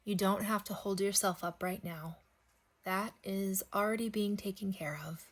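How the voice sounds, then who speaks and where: A woman leading a guided sleep meditation, speaking gently in unhurried phrases with long pauses. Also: medium pitch, steady tone.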